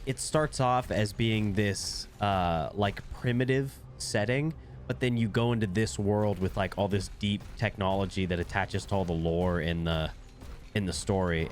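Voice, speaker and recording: A man's voice: on the low side, slight background noise. A young man with a congested, nasal voice, casually commentating while he plays a video game at his desk, rambling with lots of fillers. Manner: laid-back, rambling with fillers. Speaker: gaming video creator.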